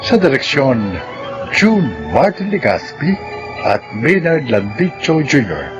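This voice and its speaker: Animated Filipino male announcer over music. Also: medium pitch, slow, muffled recording. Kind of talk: scripted radio drama narration and credits. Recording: music under the voice.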